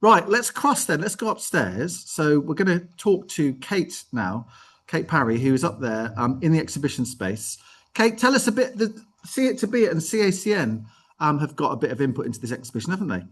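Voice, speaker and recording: A man's voice: on the high side, sing-song, fairly quick, a bit bright, breathy edge. A man casually hosting a live arts show over a video call, chatty and encouraging.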